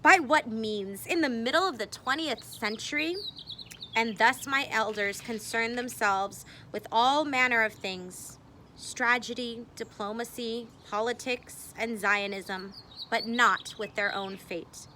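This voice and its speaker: A woman reading a memoir aloud expressively, with deliberate phrasing and dramatic emphasis. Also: a little high, sharp tone, a little hiss or hum. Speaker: reader.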